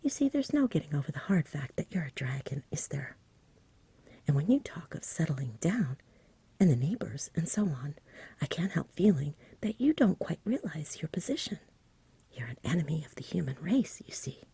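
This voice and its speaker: Female reader as young boy, storybook, sensible, polite, matter-of-fact, soft whisper, lilting, dry close sound. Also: deep voice, very animated, moderate pace, slightly rough, dry recording, exaggerated voice. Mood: polite, candid. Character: sensible, frank young boy, kindly exasperated.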